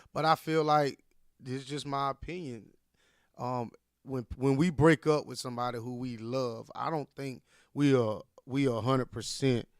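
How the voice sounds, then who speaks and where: A man talking through his opinion in a thoughtful, measured way, often stopping to say it is just his view. His voice is smooth and resonant, with a completely dry, close sound. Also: mid-range voice, no echo. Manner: reflective, measured, qualifies views as opinion. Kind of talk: casual chat, giving an opinion.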